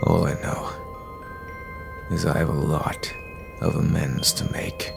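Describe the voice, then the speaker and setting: A man playing a brooding, remorseful superhero vigilante in an audio drama, speaking in a halting, gravelly voice. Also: a bass voice, level tone, heavily acted. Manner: slow, halting delivery. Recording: music under the voice.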